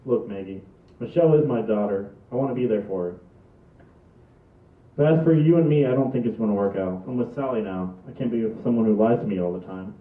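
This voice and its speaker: A man's voice: on the low side, a little fast, natural voice. A man reading an exasperated, level-headed family man at a comedy script table read. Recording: muffled, echoey, far from the mic.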